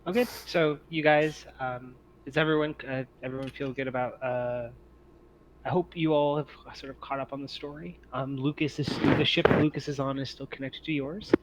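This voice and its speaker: A man's voice: mid-range voice, steady tone, normal speed. A man running a casual tabletop game online as game master, speaking in an encouraging, appreciative way. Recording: muffled mic with noticeable background hiss.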